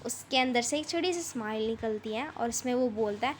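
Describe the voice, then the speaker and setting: High-voiced woman explaining a story in Hindi. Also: slight background noise. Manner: reads English text slowly, then explains it in Hindi at length; repeats and rephrases explanations. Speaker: teacher. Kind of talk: English lesson explained in Hindi.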